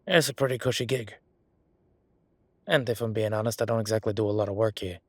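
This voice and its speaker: A man's voice: fairly low pitch, fairly even intonation, brisk, a little mellow, slightly rough, no echo. A man playing a defensive, chatty government records clerk in an audio roleplay, talking in a rambling, colloquial way. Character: male records hall clerk, defensive, chatty, lazy bureaucrat. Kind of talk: solo audio roleplay, conversational acting.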